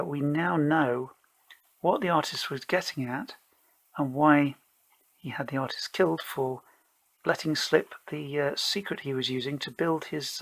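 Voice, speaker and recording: A solo tabletop gamer narrates in a medium-pitched voice. The delivery is improvised and halting, with the player mulling over each turn of the story aloud. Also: vocal fry, fairly bright tone, slightly rough, low-quality recording. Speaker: solo role-playing game player and narrator.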